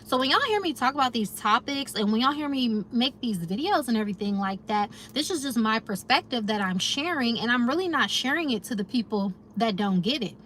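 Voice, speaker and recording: Chatty American woman, higher voice. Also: animated, fairly quick, hardly any pauses, fairly bright tone, a little hiss or hum. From United States. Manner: chatty, addresses viewers as y'all, reflective. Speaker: lifestyle vlogger. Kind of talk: casual chat to viewers.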